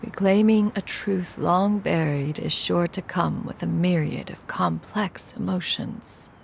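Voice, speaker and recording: A gravelly, aloof crane adeptus. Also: female voice, on the low side, fairly even intonation, unhurried, a little hiss or hum. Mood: solemn. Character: ancient female crane adeptus, aloof and formal. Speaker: game character. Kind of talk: dubbed game dialogue.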